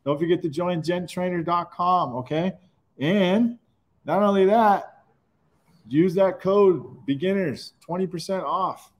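A man's voice: high-pitched, a little slow. Laid-back man hosting a live webinar. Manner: loose and upbeat, calls people dude. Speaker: webinar host. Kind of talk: casual live-stream chat. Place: indoor auto repair shop.

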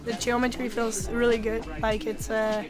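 A woman with a slightly high voice speaks hesitantly, often pausing on "uh". She is recorded outdoors at a team tent. Clear music plays underneath. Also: little pitch movement. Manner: hesitant, lots of uhs. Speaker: pro mountain biker. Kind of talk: casual interview.

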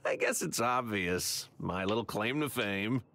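An actor playing a friendly baker in a video game, chummy and bashfully boastful. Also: male voice, fairly low pitch, lively intonation, a little slow, background noise. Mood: proud, chummy. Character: friendly baker proud of his minor TV fame.

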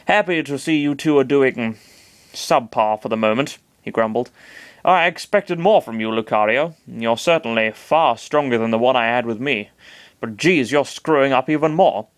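A man's voice: medium pitch, moderate pace, no room sound, close to an everyday voice. Man voicing a sneering godlike hand entity, sarcastic, dry close sound. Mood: smug. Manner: sarcastic, grumbling, insulting. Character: smug, condescending giant cosmic hand, male.

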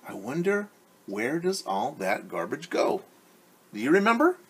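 A man in his forties with a husky voice reads a picture book to young children. He is expressive and explains things in simple words, putting questions to his listeners. He is recorded at home on a camera about an arm's length away. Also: on the high side, a little slow. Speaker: teacher reading a story to young children. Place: living room at home, indoors. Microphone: device camera at about arm's length.

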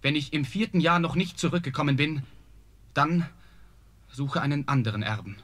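An actor playing a brave, polite young prince in a fairy-tale audio drama. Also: male voice, fairly high pitch, moderate pace, fairly bright tone. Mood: determined.

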